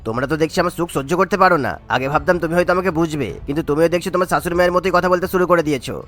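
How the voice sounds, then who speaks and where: Casting: male actor as sly, vain old father-in-law for cartoon. Very fast, wheedling, boastful, a bit high. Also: a bit bright, soft background music, lightly acted. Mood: indignant boasting. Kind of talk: Bengali cartoon voice acting.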